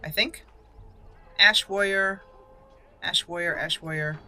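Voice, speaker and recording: A woman's voice: on the low side, takes it slowly, pushed and bright, soft background music. A woman giving roleplay commentary as the host of a gaming channel.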